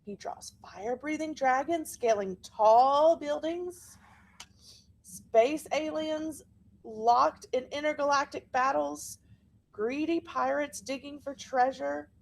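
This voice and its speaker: A woman reading a children's picture book aloud in an expressive, deliberate storytelling manner. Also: sits high, creaky, low-quality recording. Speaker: storybook reader.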